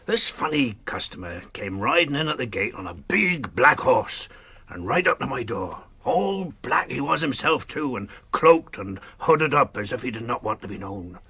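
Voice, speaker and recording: An actor playing a gruff, hearty older hobbit farmer in a radio drama, folksy and wary of strangers. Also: male voice, medium pitch, moderate pace, full character voice. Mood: folksy, storytelling. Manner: rustic, folksy, chuckling, animated.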